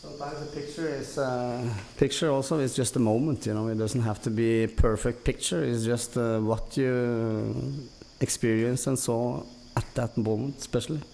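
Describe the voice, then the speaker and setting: A man from Norway with a Norwegian accent, chatting casually in a halting, rambling way as a podcast guest. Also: mid-range voice, steady tone, vocal fry, warm tone, a little hiss or hum. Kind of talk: casual chat, commenting on photos.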